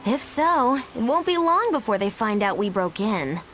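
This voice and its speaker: A woman's voice: fairly high pitch, big pitch swings, normal speed, a bit bright, soft background music. An actress playing a playful, mischievous woman in a fantasy video game. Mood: matter-of-fact. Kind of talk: game voice acting.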